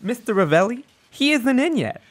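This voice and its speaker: A woman playing a flustered young office secretary in a radio play, excitable and chirpy. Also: fairly high pitch, normal speed.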